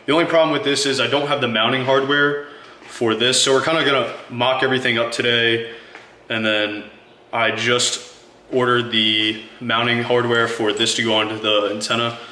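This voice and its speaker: A man hosting a casual how-to vlog, showing off parts one at a time in a relaxed way. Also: mid-range voice, normal speed, echoey room, low-quality recording. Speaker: channel host. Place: garage.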